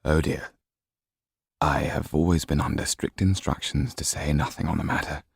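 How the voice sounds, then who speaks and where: A man's voice: steady tone, moderate pace, creaky, slightly rough, dry recording, studio quality, exaggerated voice. A man voicing a kindly, weary old French lawyer, grave and hesitant, in an audiobook. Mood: flustered. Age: older. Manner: hesitant, grave, low-voiced.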